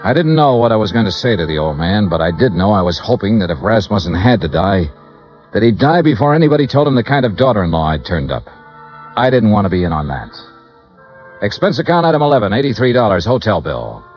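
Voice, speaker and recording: Deep-voiced hard-boiled radio detective. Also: male voice, quick pace. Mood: weary, dry narration. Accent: American. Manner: clipped, terse, deadpan. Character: hard-boiled, weary male insurance investigator. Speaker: lead actor, insurance investigator narrator. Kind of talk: 1950s American radio noir acting with narration. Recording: dull vintage radio recording, music behind.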